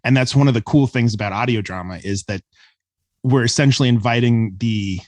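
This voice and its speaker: A man's voice: medium pitch, fairly quick, dry recording. A man speaking as a guest sound designer, talking enthusiastically and conversationally in an interview.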